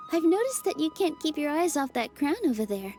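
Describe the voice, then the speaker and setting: A sweet young theater dancer with a high, mellow, lively voice. She speaks gently and warmly admires others, over soft game music. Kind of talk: game cutscene voice acting.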